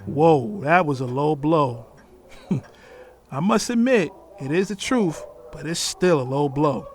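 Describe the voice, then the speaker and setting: A man's voice: on the high side, lively intonation, slow, soft background music. A man acting an observant grown son, narrating a scripted audio drama in the first person. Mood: wry. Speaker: narrator and character.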